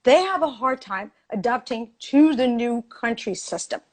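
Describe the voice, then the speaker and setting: Woman debater, passionate and insistent, slightly high voice, repeats for emphasis. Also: lively intonation, moderate pace, darkish tone. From Ethiopia. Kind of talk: heated debate.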